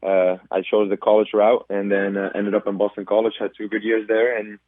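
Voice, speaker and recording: A man's voice: lowish voice, completely flat delivery, fairly quick, no room sound. A man in his twenties, a soccer player guest answering radio interview questions thoughtfully, with many ums and restarts. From Belgium. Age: 20s. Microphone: phone call. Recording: tinny phone line.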